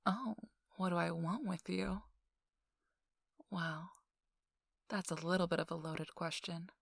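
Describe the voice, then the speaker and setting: Woman playing a slow, sultry, teasing socialite. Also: lowish voice, creaky, dry recording, clean studio sound, heavily acted. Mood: coy, intrigued.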